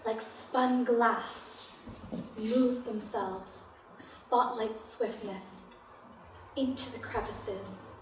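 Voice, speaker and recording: A woman reciting poetry in a deliberate way, in short phrases with long pauses between them. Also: mid-range voice. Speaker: poetry reader. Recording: echoey room, distant mic, faint noise.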